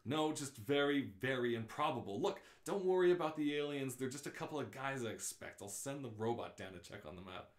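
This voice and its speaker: A man's voice: medium pitch, lively intonation, fairly quick, few pauses, natural voice. Patient, practical woman aboard a spaceship, read by a man, placating tone, radio play in an echoey room. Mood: reasonable. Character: calm, reassuring female space traveller, patient and practical.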